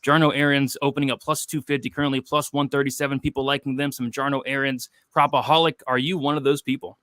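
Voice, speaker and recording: A man's voice: mid-range voice, flat delivery, quick pace, a bit bright, no echo, clean studio sound. A man in his twenties hosting a casual betting livestream, reading chat questions and joking loosely. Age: 20s. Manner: loose, jokey, reads chat questions aloud. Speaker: host of a betting show.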